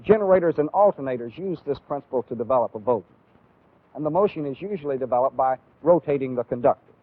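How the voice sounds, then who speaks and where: An American man giving a methodical, deliberate instructional lecture as a training film instructor. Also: mid-range voice, expressive, dry recording. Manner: deliberate, methodical, clearly explanatory. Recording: phone-like, band-limited old training film audio.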